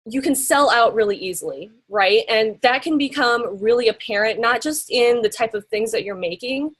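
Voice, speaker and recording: A woman's voice: on the high side, normal speed, fairly bright tone, slightly rough. A young woman telling her story in an interview, chatty and full of fillers and rhetorical questions. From United States. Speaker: interviewee, graphic designer.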